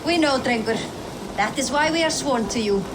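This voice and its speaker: A woman's voice: high voice, expressive, normal speed, heavy background noise. An actress playing a devoted, watchful Viking clanswoman in a video game cutscene, speaking earnestly with formal, old-fashioned phrasing. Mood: concerned, loyal.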